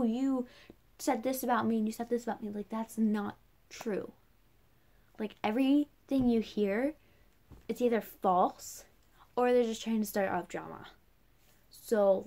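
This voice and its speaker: A woman's voice: mid-range voice, a little mellow. Young woman rambling casually, chatty vlogger. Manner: chatty, rambling, heavy use of "like". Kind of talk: casual personal rant to camera.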